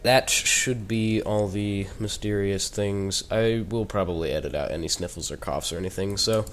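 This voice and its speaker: A man's voice: fairly low pitch, moderate pace, a bit bright. Teen boy gamer with a head cold. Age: teens. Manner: chatty and rambling, with joking asides. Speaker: gaming YouTuber. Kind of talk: casual gameplay commentary.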